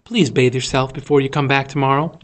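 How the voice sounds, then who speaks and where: A man's voice: medium pitch, steady tone, fairly quick, close to an everyday voice. A man voicing a prim, squeamish woman schoolteacher in a storybook, with a proper, anxious manner. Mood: prim, polite.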